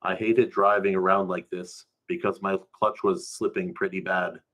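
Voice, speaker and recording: Male storyteller, lowish voice, colloquial first-person narrative read live. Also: flat delivery, moderate pace, fairly bright tone. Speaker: storyteller reading a story aloud.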